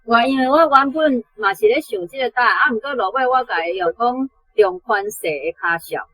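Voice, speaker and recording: Female language teacher, bright but husky, dry sound, explaining off the cuff in a Taiwanese accent. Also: fairly high pitch, a little fast, dry recording, poor audio. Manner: explanatory, corrective. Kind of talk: unscripted explanation.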